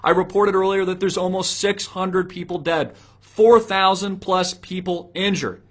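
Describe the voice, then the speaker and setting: A man with a notably high-pitched voice delivers solo political commentary as an online host. He is opinionated and preachy, leaning hard on his points and addressing viewers directly with rhetorical questions. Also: fairly even intonation, a little slow, a bit bright.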